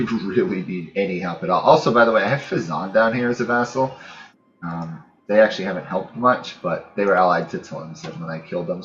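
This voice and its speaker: A man's voice: sits low, fairly bright tone. A man giving casual, unscripted commentary as the host of a gaming let's play, musing aloud in a hesitant way with trailing thoughts. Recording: dull lo-fi mic, music behind. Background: background music.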